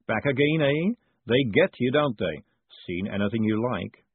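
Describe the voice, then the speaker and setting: A man's voice: medium pitch, animated, moderate pace, slightly rough. A man voicing a big, keen pin-shop keeper in an audiobook, proud and furtive, with a knowing, confiding salesman's patter. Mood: wary, knowing. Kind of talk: audiobook character acting. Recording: muffled narrow phone-like sound.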